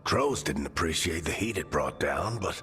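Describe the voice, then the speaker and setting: Very low-voiced, streetwise mentor. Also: male voice, animated, normal speed, sharp tone, soft background music, exaggerated voice. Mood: fond encouragement. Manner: colloquial, encouraging mentor. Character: seasoned male team leader, wry, fatherly, streetwise. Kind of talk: dramatic video game dialogue.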